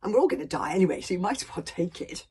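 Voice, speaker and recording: A woman voicing an eager, stubborn young Viking boy in a storybook, excitable and insistent. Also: fairly low pitch, very fast, breathy edge, far from the mic. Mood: insistent, excited.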